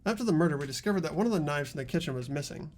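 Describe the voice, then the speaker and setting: A woman's voice: deep voice, lively intonation, racing, a little mellow. A woman voicing an earnest, determined teenage student in an amateur video game dub. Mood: insistent.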